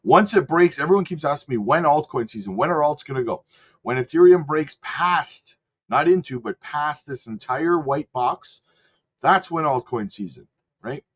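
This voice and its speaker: A man with a cold, host of a channel, casually talking through market charts and hedging his calls, clearing his throat now and then. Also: fairly high pitch, lively intonation, normal speed. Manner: rattles off lists, chatty and hedging. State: sick with a cold. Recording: slightly dull lo-fi audio.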